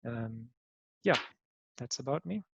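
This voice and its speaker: A man from Germany introduces himself as a panelist over a video call, speaking in a plain, measured way. The call audio is muffled and dry, with no room sound. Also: mid-range voice, lively intonation, creaky, husky, no room sound. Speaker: panelist, social entrepreneur.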